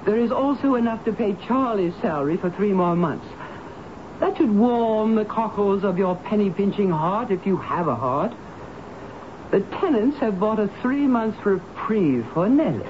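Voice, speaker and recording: An actress playing a dramatic, soft-hearted but indignant spinster tenant in a radio drama, speaking in a theatrical, emphatic way. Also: female voice, mid-range voice, lively intonation, moderate pace, muffled recording, natural voice. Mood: indignant, scornful. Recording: thin, noisy vintage radio broadcast.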